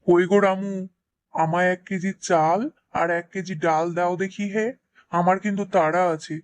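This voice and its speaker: A man's voice: high-pitched, fairly even intonation, moderate pace, clean tone, no echo, close to an everyday voice. A man voicing a village schoolmaster in a cartoon, formal and instructing in manner. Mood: brisk. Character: brisk village schoolmaster, a pandit. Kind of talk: Bengali cartoon voice acting.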